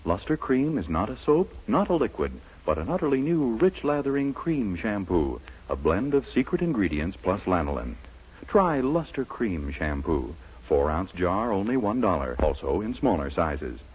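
A man's voice: on the low side, big pitch swings, moderate pace, a little mellow, slightly rough. A man announcing and reading advertising copy in the style of 1940s radio. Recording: muffled old radio recording.

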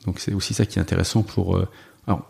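Guest dev, male, low mellow voice with fry, hesitant delivery full of fillers. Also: flat delivery, fast. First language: French. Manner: hesitant, many fillers and restarts. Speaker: guest, software developer. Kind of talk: casual conversational interview.

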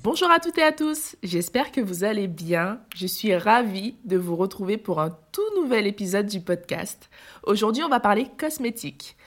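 A woman's voice: medium pitch, very animated, moderate pace. A woman hosting a podcast, upbeat and eager as she introduces guests and asks questions. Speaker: podcast host. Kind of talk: podcast interview.

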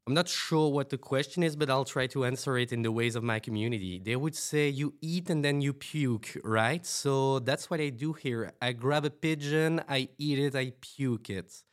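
A man playing a blunt, odd man from a crude community in a fiction podcast, terse and deadpan. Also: medium pitch, moderate pace, hardly any pauses, close to an everyday voice. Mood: matter-of-fact. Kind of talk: fiction podcast roleplay as interview.

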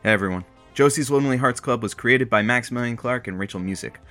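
A man's voice: mid-range voice, quick pace, pushed and bright, faint music behind. A man reading scripted podcast credits as an announcer.

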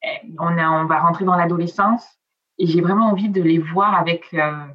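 A middle-aged woman from southern France, whose first language is Italian, answers interview questions in a loose, chatty way. Her voice sits a little low and she fills pauses with hesitations. The recording sounds slightly muffled. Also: fairly even intonation. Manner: chatty, frequent hesitations, trails off softly. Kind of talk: casual interview chat.

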